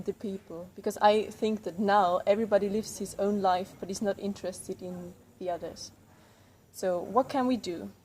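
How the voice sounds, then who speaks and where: A woman's voice: mid-range voice, mellow, slight background noise, lightly acted. A woman playing an earnest young newcomer in a classroom role-play, speaking hesitantly with many fillers. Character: young woman newcomer, eager community volunteer.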